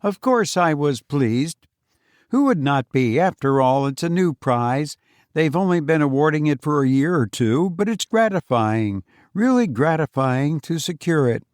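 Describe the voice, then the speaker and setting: A man's voice: on the high side, lively intonation, measured pace, darkish tone, no echo, clean studio sound. A man voicing a smug, patronizing, chatty English writer with a gushing, falsely modest manner in an audiobook story. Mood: pleased, boastful. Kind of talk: acted dialogue in a literary audiobook.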